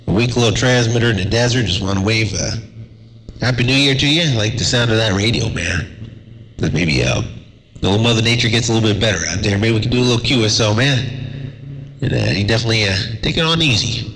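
A man chatting on CB radio from a desert station, laid-back and drawling, with a slightly raspy voice from a cold. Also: lowish voice, expressive, a little fast, a bit bright, long reverb tail, slight background noise, poor audio. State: has a cold. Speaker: CB radio operator.

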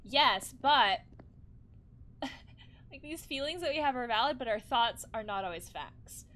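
A woman, perhaps in her thirties, giving expressive, exclaiming reaction commentary as she plays a video game. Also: a little high, measured pace. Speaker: counselor playing and commenting on a game. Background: game music.

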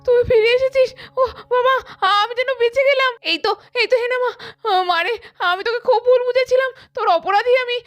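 A woman's voice: very high voice, fairly even intonation, fairly quick. A woman voicing an emotional middle-aged mother in a puppet cartoon, repeating herself as she pleads. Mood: remorseful. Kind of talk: cartoon voice acting. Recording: background music under the voice.

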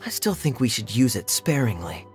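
Man playing a sly young knight, smooth, wry, drawling, lively intonation, anime game character, music behind. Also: mid-range voice, normal speed, natural voice. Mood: measured, persuasive. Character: suave, teasing young male knight, sly and relaxed. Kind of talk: anime-style game voice acting.

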